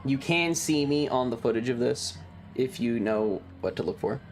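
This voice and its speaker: A man streamer talking casually and rambling through his material, halting often with stretched pauses. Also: medium pitch, expressive, soft background music. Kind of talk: casual livestream chat over trip photos and videos.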